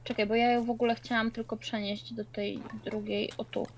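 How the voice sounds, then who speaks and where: A woman's voice: on the high side, level tone, brisk, slight background noise. A woman chatting casually while gaming, slangy, dropping in English words and swearing. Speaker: co-player in a multiplayer game.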